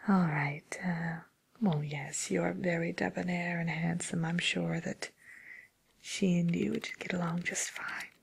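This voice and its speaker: A woman playing a genteel, businesslike matchmaker for villains in a whispered ASMR role play, murmuring politely and hesitantly in a breathy voice. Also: fairly low pitch, fairly even intonation, measured pace, exaggerated voice. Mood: gently amused.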